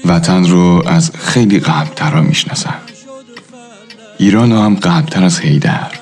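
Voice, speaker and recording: A man playing a reflective, philosophical narrator who tells a radio drama in a measured, literary manner. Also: sits low, a little mellow. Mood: pensive. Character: reflective, philosophical storyteller of an old neighbourhood. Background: faint music.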